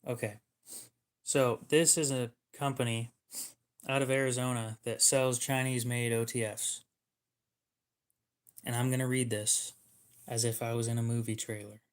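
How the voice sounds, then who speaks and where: A man in the middle of his range hosts a relaxed livestream, talking off the cuff to his viewers. His humor is dry and sarcastic, and he drops the occasional curse word without a second thought. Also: medium pitch, moderate pace, long pauses, clear, resonant tone. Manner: joking, sarcastic, swears casually. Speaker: livestream host. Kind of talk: casual livestream chat.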